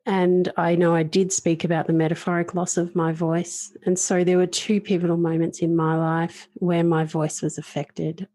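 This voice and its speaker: A woman's voice: low-pitched, creaky, mellow, dry recording. A woman hosting a podcast, talking earnestly and reflectively about her own experiences. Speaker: podcast host.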